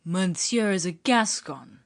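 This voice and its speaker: A woman reading an audiobook, voicing a young musketeer with churchly airs, polished, ironic and measured. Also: lowish voice, lively intonation.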